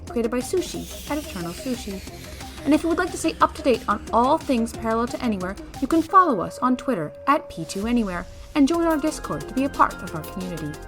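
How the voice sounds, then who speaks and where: A woman announcer reading scripted closing credits for an audio show. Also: fairly high pitch. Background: music.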